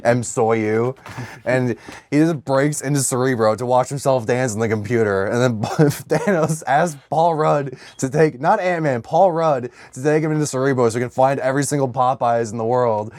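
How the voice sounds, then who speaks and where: A man's voice: mid-range voice, normal speed, darkish tone, no echo, studio quality. A man co-hosting a podcast in casual comedic banter, crude and sweary, often laughing as he riffs. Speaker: podcast co-host.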